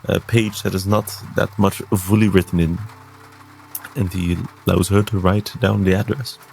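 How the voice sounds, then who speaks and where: A man's voice: low voice, flat delivery, normal speed, creaky, a little mellow, soft background music. A man improvising third-person narration as a player in a tabletop game.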